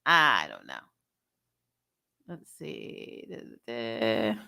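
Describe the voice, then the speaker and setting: A woman giving a casual, frank, salty and animated spoken review as the host of a recap show. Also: very deep voice, drawn-out words, vocal fry. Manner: animated storytelling, laughs often, frank and salty. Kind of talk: casual chatty episode review, reacting to live comments.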